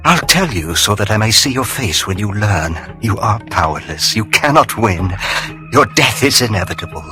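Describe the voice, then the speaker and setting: An actor playing a gloating, treacherous vampire henchman in a video game, raspy and theatrical, with mocking, formal archaic phrasing. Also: fairly low pitch, sing-song, normal speed, sharp tone, faint music behind. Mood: bitter, defiant. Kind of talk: dramatic video game voice acting.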